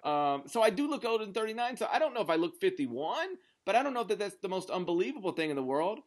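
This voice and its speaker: A man's voice: high voice, very animated, fast talker. Rambling, emphatic American man in his thirties. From Memphis area, southern United States. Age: 30s. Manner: rambling, emphatic, says "like" constantly. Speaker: sports podcast host. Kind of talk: casual sports chat.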